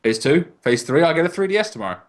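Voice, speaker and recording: A man's voice: fairly high pitch, very animated, quick pace. A man co-hosting a gaming podcast in casual conversation, wry and opinionated. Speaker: podcast co-host.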